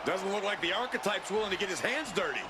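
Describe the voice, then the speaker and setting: A high-voiced male wrestling color commentator in a video game. He is skeptical and needling, speaking over heavy arena noise. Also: lively intonation, fast. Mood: pointed. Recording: loud arena noise behind the voice. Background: noisy wrestling arena.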